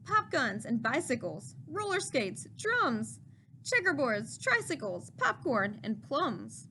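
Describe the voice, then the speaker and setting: A woman's voice: high voice, sing-song, takes it slowly, pushed and bright, slight background noise. A woman reading a children's story aloud like a teacher, with dramatic, rhythmic delivery.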